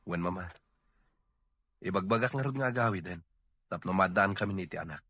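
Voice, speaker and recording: Man playing a sharp, husky, frustrated Ilocano husband. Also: low voice, fairly quick. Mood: regretful. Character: frustrated, dutiful married man longing for children. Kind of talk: audio drama acting.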